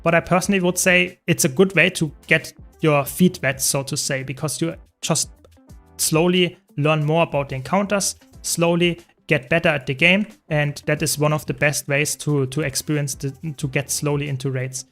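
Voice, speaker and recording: A man's voice: on the high side, moderate pace, soft background music. A young man from Germany with a German accent, chatting casually with viewers while playing a game on a live stream. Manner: answers chat questions while playing, relaxed and talkative.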